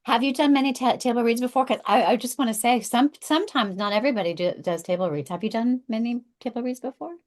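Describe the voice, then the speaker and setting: A woman's voice: on the high side, quick pace, hardly any pauses. A woman guest speaking emphatically and interjecting in a casual panel interview.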